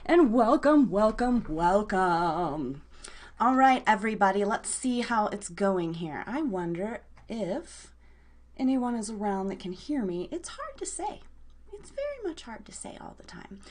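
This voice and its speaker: A woman's voice: mid-range voice, very animated, measured pace, a little mellow. An American woman hosting a casual live show in a bubbly, chatty way with playful wording. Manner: bubbly, playful word coinages. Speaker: radio show host.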